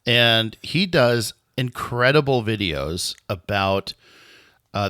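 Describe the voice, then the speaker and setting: A male podcast co-host with a lowish voice, recorded very dry and close. He speaks upbeat and enthusiastically as he welcomes his guest. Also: bright, forceful tone, clean tone, no echo. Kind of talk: casual podcast hosting.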